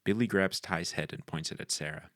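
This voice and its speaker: A man's voice: low voice, a little fast, fairly bright tone, dry recording, clean studio sound. A man reading scene headings and stage directions as narrator at a script table read.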